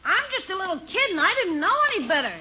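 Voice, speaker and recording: A woman's voice: very high voice, sing-song, fast talker. A woman playing a cheeky young boy who teases his uncle in a 1950s radio comedy. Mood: teasing. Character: cheeky young boy, the hero's teasing nephew. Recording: muffled, noisy old radio recording.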